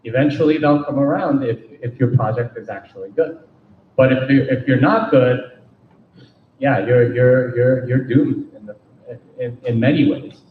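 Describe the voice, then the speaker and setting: A man's voice: medium pitch, normal speed. A man speaking bluntly at a meetup, firing rhetorical questions at the audience, heard from a distance. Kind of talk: meetup Q&A talk. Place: meeting room. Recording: roomy, distant, dull lo-fi sound with faint noise.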